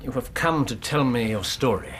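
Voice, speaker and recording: An actor playing a shrewd, composed Victorian gentleman detective with an English accent, courteous and precise, in a radio drama. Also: medium pitch, lively intonation, moderate pace, a little hiss or hum, natural voice. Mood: courteous, soothing. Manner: courteous, precise, with musing hums.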